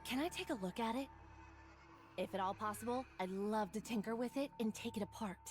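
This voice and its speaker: A woman's voice: a little high, brisk, soft background music, natural voice. A woman voicing an eager, inquisitive tinkerer in a game, casual in manner. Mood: eager, curious. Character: eager, curious female tinkerer.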